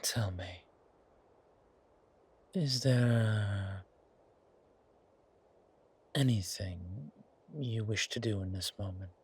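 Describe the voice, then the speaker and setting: A man playing a suave, menacing, hungry vampire in an ASMR horror roleplay, with a softly taunting, drawn-out delivery. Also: fairly low pitch, husky, heavily acted. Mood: hushed menace. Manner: slow, drawn-out, softly taunting, often whispering.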